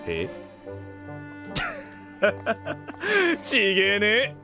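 An actor playing a rough-spoken, easygoing male mercenary in a Japanese audio drama, casual in manner. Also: sits high, wildly swooping pitch, very slow, heavily acted. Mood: wry, amused. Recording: music behind the voice.

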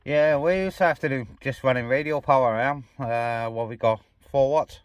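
A man in casual, unscripted chat, his voice at an average pitch. There is clear radio hiss and clicking noise around him. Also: normal speed, muffled recording. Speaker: vlogger and CB radio operator.

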